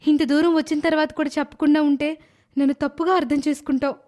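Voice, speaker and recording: A man doing a gentle, high young mother. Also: little pitch movement, fast. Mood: nervous. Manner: soft pleading falsetto.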